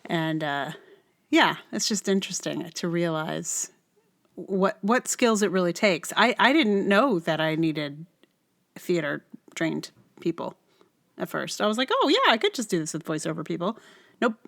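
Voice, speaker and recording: A woman's voice: on the low side, very animated, unhurried, gravelly, fairly bright tone. A woman hosting a solo podcast in a casual, rambling way, often thinking aloud in unfinished phrases. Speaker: podcast host.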